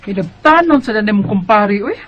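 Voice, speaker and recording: A woman's voice: medium pitch, animated, a little fast, dull audio, soft background music, lightly acted. A woman acting a sardonic Bisaya girlfriend who nags and chides her partner in a radio drama. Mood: grumbling.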